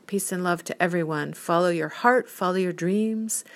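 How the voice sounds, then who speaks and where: A woman's voice: fairly low pitch, normal speed. A woman of fifty musing on her own in a dreamy, rambling, spiritual way, reading her creative writing aloud and then talking freely. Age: 50s. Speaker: podcast host. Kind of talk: reflective solo monologue.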